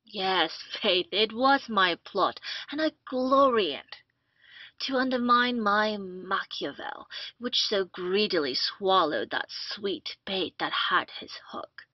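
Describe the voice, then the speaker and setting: Woman doing a vengeful captain in an old comedy, slow n taunting, muffled roomy audio. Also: medium pitch, expressive. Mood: gloating, vengeful. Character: vengeful gloating male army captain, first posing as constable. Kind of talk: stage comedy in old-fashioned English. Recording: muffled lo-fi roomy home recording.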